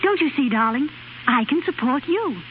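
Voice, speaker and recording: A woman's voice: high voice, lively intonation, normal speed. A woman acting a determined, eager young wife in a 1930s radio drama. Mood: eager, persuasive. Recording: tinny, hissy 1930s radio recording.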